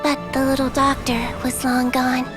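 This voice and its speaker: A woman voicing a gentle nonhuman little doctor girl in a video game, softly and sincerely telling her story like a storybook. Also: high voice, completely flat delivery, moderate pace, lightly acted. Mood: gentle storytelling. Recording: background music under the voice.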